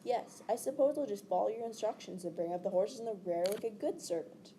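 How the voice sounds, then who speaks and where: Woman reading a bitter, creaky-voiced young man. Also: medium pitch, fairly quick, mellow, a little hiss or hum, lightly acted. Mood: angry, sarcastic. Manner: sarcastic, accusing. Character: bitter, angry, sarcastic young male fugitive.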